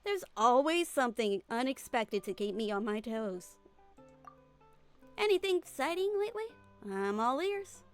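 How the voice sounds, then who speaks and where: A woman acts out an easygoing female desert mercenary for a game fan dub, with a fairly high voice. She is self-assured and informal, talking people round in a breezy way. Light music plays behind her. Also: sing-song, unhurried. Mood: breezy, confident.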